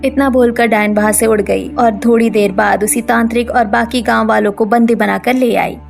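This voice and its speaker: High, creaky-voiced woman storyteller. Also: steady tone, moderate pace, soft background music. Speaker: story narrator. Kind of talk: scripted cartoon storytelling narration.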